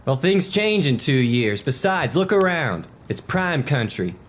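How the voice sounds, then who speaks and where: A man's voice: fairly high pitch, very animated, measured pace, a little hiss or hum. A man playing a hard-nosed, by-the-book cavalry soldier in a historical drama, admonishing in a folksy, matter-of-fact way. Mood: confident.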